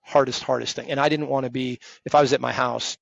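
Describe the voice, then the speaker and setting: Gravelly, husky man in his fifties, flat delivery, dull dry lo-fi recording. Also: medium pitch, quick pace, no echo. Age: 50s. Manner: emphatic, direct, self-described loud voice. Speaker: podcast guest, retired firm partner. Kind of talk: conversational interview.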